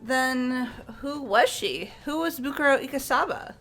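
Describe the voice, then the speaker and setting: Woman as a high-voiced, inquisitive schoolgirl. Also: lively intonation, a little slow, a bit bright. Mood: confused. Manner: casual, questioning. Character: naive, excitable, plain-spoken teenage schoolgirl. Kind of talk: fan-dubbed video game character acting. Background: game music.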